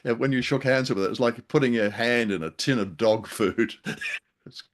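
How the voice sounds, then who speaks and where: An older man co-hosting a radio discussion show in a casual, halting, humorous way with wry jokes. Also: medium pitch, a little hiss or hum. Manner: wry, jokey, chuckling, halting delivery. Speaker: co-host of an old-time radio discussion show.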